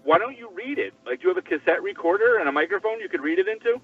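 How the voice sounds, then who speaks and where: A man's voice: sits high, big pitch swings, racing, gravelly, slightly rough, no echo. An older man chatting casually and giving advice in a blunt, coaxing manner. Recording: tinny phone-like line, distant, music behind.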